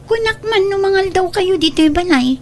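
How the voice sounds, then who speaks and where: A woman's voice: extremely high pitch, expressive, normal speed, darkish tone. A woman with an Ilocano accent playing a demanding young student daughter in a radio drama.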